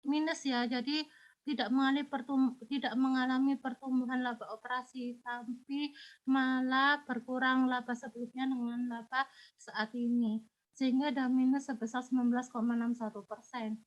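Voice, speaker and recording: A woman's voice: high voice, little pitch movement, moderate pace, dull audio. A woman teacher with a cold explaining exam answers step by step, her voice a little congested and unclear. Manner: patient, step-by-step explaining, addresses students directly. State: has a cold.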